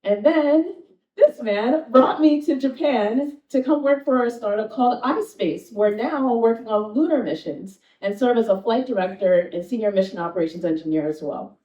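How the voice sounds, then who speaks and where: Woman from New Jersey with a mellow tone. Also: a little high, animated, moderate pace. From New Jersey, United States. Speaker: panelist, flight director. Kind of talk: panel self-introduction. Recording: echoey room, distant dull mic.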